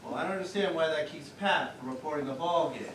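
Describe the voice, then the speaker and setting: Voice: medium pitch, fast talker, fairly bright tone, close to an everyday voice. A performer playing a brash, wisecracking baseball manager with blunt, matter-of-fact comebacks in a stage comedy routine. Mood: deadpan. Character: brash, wisecracking, unflappable small-town baseball team manager. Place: small-town theater stage. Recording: distant mic in echoey theater, faint noise.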